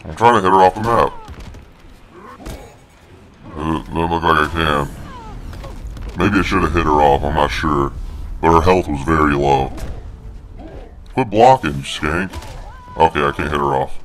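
Male gamer, extremely low monster voice, cocky snarky trash talk, noticeable game noise behind. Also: a little slow, pauses often, fairly bright tone. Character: snarky, boastful big male monster brute. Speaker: gaming video host. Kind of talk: snarky gameplay commentary in a put-on monster voice. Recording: home recording with noisy game sound. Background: fighting game sound effects.

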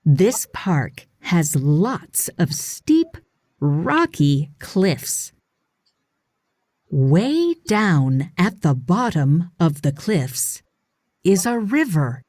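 Woman narrator for children's nonfiction read-along; extremely slow, animated intonation, dry studio sound. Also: on the low side, dry recording. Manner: deliberate, expressive phrasing for young readers. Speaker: audiobook narrator.

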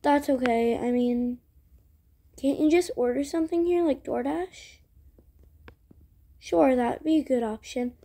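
A woman with a high voice acts out an improvised roleplay story. The delivery is slow and halting, with a soft, mellow tone and pauses between phrases. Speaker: video creator voicing all characters. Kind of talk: improvised character roleplay storytelling for a toy-world game video.

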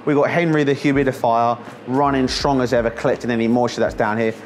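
A man's voice: medium pitch, fairly even intonation, fast talker, slight background noise. A man in his thirties hosting a casual vlog, chatting enthusiastically and joking while walking through a warehouse under construction. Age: 30s. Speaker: vlog host.

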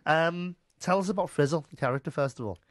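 Chatty Scouse radio presenter. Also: male voice, a little high, fast, dry recording, clean studio sound. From Merseyside, England. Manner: chatty, warm upbeat delivery with many fillers. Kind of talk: radio interview chat. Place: radio studio. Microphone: studio mic, headphones.

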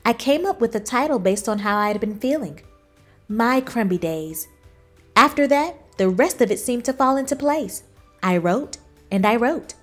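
High-voiced woman reading a storybook. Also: lively intonation, moderate pace, faint music behind. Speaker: children's book reader, channel host. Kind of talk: expressive storybook narration.

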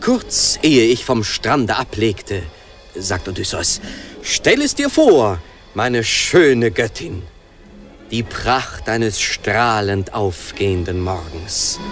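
Gushing flatterer, swooping pitch. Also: male voice, soft background music. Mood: dreamy recital. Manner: effusive, halting, theatrically charming. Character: gushing, flattering, brash showman courting a nymph. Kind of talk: German radio play acting.

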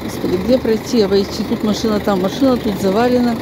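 A woman's voice: mid-range voice, fairly even intonation, quick pace. An older woman with a Northern Russian accent, chatting and grumbling colloquially to viewers as she walks along with a phone. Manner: talking while walking. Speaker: vlogger. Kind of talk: casual spoken vlog, talking to viewers. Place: outdoors on snowy residential streets, briefly stairwell and bus. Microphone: handheld phone. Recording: noisy handheld phone recording, street ambience.

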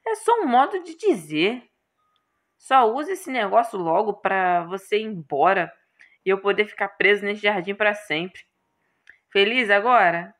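A woman's voice: medium pitch, lively intonation, a little slow, a bit bright. A woman voicing a brash, irritable young male hero in a game, exclamatory and sarcastic. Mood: exasperated.

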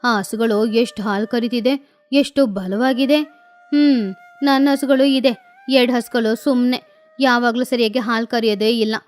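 A woman's voice: a little high, fairly even intonation, a little slow, close to an everyday voice. A woman voicing a lazy, jealous mother crow who mutters and grumbles sulkily. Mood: grumbling jealous. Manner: sulky, muttering to herself.

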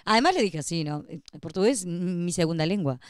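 A woman's voice: lowish voice, fast talker, dry recording. A middle-aged woman from Bella Unión with a Uruguayan border accent, co-hosting a casual radio talk show in a small studio, telling stories with laughter. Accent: Uruguayan Spanish from the Brazilian border. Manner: lively, laughing, animated storytelling. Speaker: co-host. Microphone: studio mic on boom arm, headphones.

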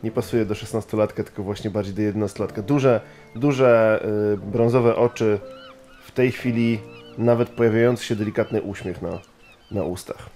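Male tabletop player, lowish voice, hesitant, faint music bed. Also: fairly even intonation, normal speed, mellow. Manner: thoughtful, with hesitant fillers. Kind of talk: describing his character.

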